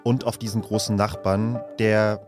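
A man hosting a news podcast, narrating a scripted report in a calm, measured way from an office. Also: fairly low pitch, over music. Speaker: podcast host.

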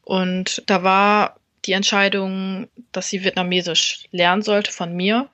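A woman's voice: mid-range voice, flat delivery, unhurried, fairly bright tone, no echo, dull audio. A woman who is a stand-up comedian, guest on a casual podcast, explaining her views thoughtfully and at length and laughing easily. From Germany.

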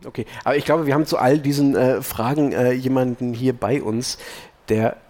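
A man's voice: medium pitch, fairly even intonation, moderate pace, darkish tone. Chatty male moderator, mid voice. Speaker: podcast host and moderator. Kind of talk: casual live panel chat.

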